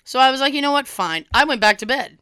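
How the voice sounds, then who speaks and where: Young woman, cold-hoarse, bright crisp voice, dry close sound, animated storyteller. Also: high voice, fast talker, dry recording. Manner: animated, swearing, acts out quoted dialogue. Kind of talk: casual storytelling chat.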